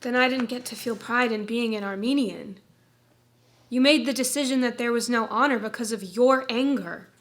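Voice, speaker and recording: A woman's voice: a little high, normal speed, natural voice. A woman playing a hurt, accusing daughter. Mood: angry. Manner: pointed, accusing questions. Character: grown daughter, hurt and confrontational. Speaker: actor in a staged reading. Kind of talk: staged play reading.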